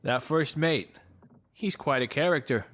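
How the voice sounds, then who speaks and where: An actor playing a brash, nostalgic, hard-drinking old friend in an audio drama. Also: male voice, on the high side, animated, a little slow, fairly bright tone. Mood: cocky.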